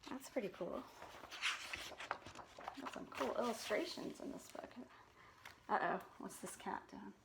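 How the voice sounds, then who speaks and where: A woman's voice: mid-range voice, unhurried, creaky, pauses often, slight background noise, rough recording. A woman hosting storytime, reading a children's storybook aloud expressively and adding casual asides. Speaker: storytime host.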